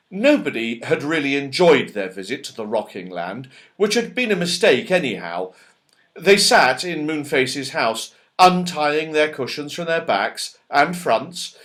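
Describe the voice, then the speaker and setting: A man narrating a children's story aloud in an expressive, dramatic way. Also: a little high. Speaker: audiobook reader.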